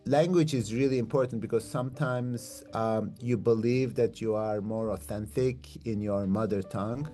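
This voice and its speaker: Middle-aged male academic from Turkey, native Turkish speaker; low, warm, reflective delivery over faint music. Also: normal speed, hardly any pauses. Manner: thoughtful, searching, restarts and rephrases. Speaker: philosophy professor, guest. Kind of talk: reflective interview conversation. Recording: faint guitar music underneath.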